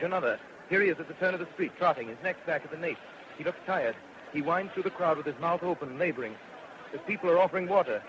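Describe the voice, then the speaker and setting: Through heavy noise and a dull, narrow sound comes a man acting as a radio announcer in a vintage drama. He is watchful and fairly high-voiced, reporting in clipped phrases as if live from a public square. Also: natural voice. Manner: clipped, present-tense observation. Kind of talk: 1930s-style radio drama, live eyewitness commentary. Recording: muffled, very noisy old radio recording.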